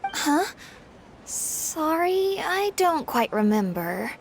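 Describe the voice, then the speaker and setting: A woman's voice: high voice, very animated, drawn-out words, slight background noise, full character voice. A woman voicing an earnest, eager young woman who loves ship engineering, in a video game.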